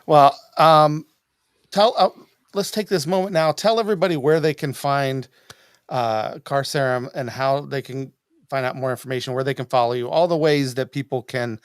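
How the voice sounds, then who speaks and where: A man's voice: mid-range voice, moderate pace, clear, resonant tone, dry recording. Male podcast host, middle age, conversational, mid-range voice, dry recording. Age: middle-aged. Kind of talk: casual chat. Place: home room, video call.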